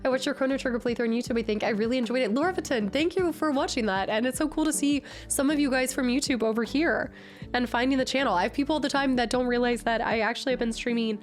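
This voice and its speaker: A woman streamer chatting casually with her viewers, her voice nasal and raspy from a cold, bubbly and excitable. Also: a little high, fast, faint music behind. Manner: bubbly, excitable, greets chat often. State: recovering from a cold. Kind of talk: casual live-stream chat.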